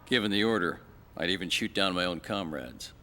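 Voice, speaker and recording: Male game role: sardonic killer-for-hire pilot, low and gravelly, swinging delivery, some background noise. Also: animated, a little fast, pushed and bright, exaggerated voice. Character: cynical fugitive ex-air force assassin pilot. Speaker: former fighter pilot interviewed. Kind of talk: dramatic documentary-style interview acting.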